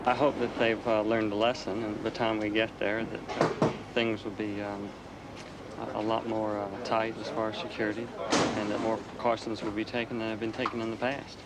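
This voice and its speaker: A man's voice: on the low side, little pitch movement, normal speed, heavy background noise. An airline passenger, a man, answering a street interview hesitantly with many fillers.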